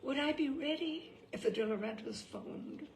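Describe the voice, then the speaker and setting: A woman host reading a humorous essay aloud in a wry, lively storytelling manner. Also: high-pitched, moderate pace, vocal fry, slight background noise. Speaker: host and reader. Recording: echoey room.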